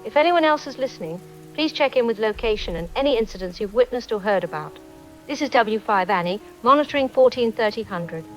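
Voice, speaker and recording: An actress playing a calm, watchful radio operator giving measured, procedural reports in an audio drama. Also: female voice, on the high side, muffled recording, faint music behind, lightly acted. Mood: resolute.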